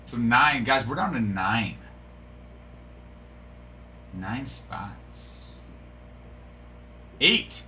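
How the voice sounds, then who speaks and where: A man's voice: mid-range voice, sing-song, extremely slow, pauses often, pushed and bright, a little hiss or hum. A middle-aged man hosting a live card break, chatting casually and rambling to his viewers. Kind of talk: casual live-stream chat.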